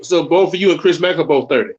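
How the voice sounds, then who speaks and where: A man's voice: on the high side, fast talker, lo-fi sound, crowd noise. A man in his thirties, narrating wrestling action and chatting casually, swearing freely. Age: 30s. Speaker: show narrator and commentator. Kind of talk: casual chat and read-out match narration.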